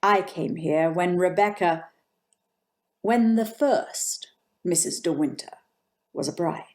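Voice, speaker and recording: A woman's voice: lowish voice, slow pace, darkish tone. A woman playing a coldly deferential housekeeper of a grand manor, clipped and restrained, in an old-time radio drama. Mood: stern, guarded.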